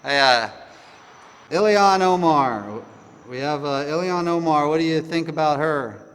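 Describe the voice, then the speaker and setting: A man with a smooth, clear and somewhat high voice talks as a casual talk host. He is blunt and dismissive, rambling through his opinions with plenty of filler words. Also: unhurried, a bit bright. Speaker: podcast host discussing current events. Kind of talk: casual political chat over the phone.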